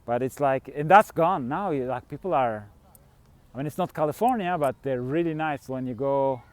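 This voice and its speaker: A man's voice: mid-range voice, moderate pace, darkish tone, dry recording. A middle-aged man from Switzerland, rambling candidly through a casual vlog monologue with the odd chuckle. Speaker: fasting coach and vlogger.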